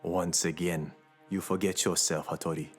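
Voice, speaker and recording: An actor playing a haughty, insinuating Japanese prince in an audio drama. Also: male voice, deep voice, flat delivery, moderate pace, faint music behind, natural voice. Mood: reproving.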